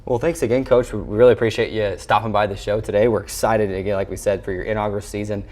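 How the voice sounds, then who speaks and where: Man, American accent, low voice, sports show host, broadcast interview style. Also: fairly even intonation, quick pace, darkish tone.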